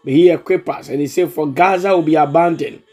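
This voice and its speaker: A man with a Ghanaian accent preaches in an earnest, exhorting way, often repeating himself. His voice sits a little high but sounds warm and mellow. Faint music plays behind him. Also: a little fast. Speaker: pastor. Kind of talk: sermon mixing Twi and English.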